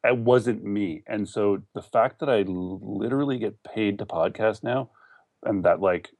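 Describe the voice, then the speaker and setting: A man's voice: fairly low pitch, unhurried, darkish tone, no room sound. A man with a Canadian accent chatting casually and informally as a podcast interview guest, telling his story in a loose, rambling way. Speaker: podcast guest, online entrepreneur.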